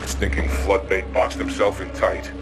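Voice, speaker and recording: A man playing a gruff, impatient alien soldier in a video game, grumbling and contemptuous. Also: deep voice, little pitch movement, unhurried. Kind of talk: video game cutscene acting. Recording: loud music over the voice.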